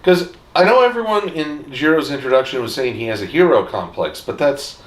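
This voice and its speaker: A man's voice: medium pitch, expressive, a little fast, far from the mic. A man co-hosting a fan discussion show with playful, teasing, theatrical banter. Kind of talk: casual joking banter. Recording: echoey room, off the mic.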